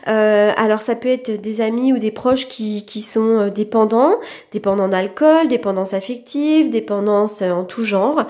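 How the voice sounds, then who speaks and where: A woman's voice: medium pitch, unhurried, darkish tone. A woman giving an informal tarot reading as host, explaining in a reassuring way and often checking that listeners follow. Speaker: tarot reader, channel host.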